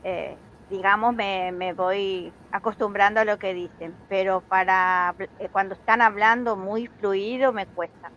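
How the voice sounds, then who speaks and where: A woman's voice: mid-range voice, fairly even intonation, unhurried, hardly any pauses, a bit bright. A woman from Paraguay who lives in Argentina, a student in an online class, talking hesitantly on a video call. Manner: hesitant, many fillers and restarts. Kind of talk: casual chat. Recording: dull lo-fi call audio with faint noise.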